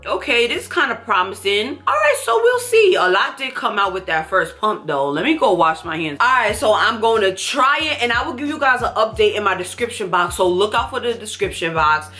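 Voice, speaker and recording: A young woman with a congested, stuffy nose talks to the camera in a bright, forward voice. She gushes emphatically about her finds in a casual, chatty way. She is recorded at desk distance in a small room at home. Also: medium pitch, lively intonation, fairly quick, hardly any pauses, soft background music. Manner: chatty, emphatic, enthusiastic product talk. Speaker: beauty vlogger. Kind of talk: casual haul chat to camera.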